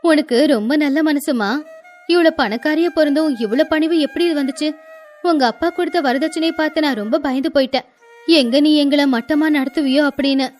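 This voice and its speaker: A woman's voice: high voice, fairly quick, darkish tone, soft background music, close to an everyday voice. A woman voicing an anxious, caring middle-aged village mother-in-law in a cartoon moral story, fussing protectively. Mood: concerned.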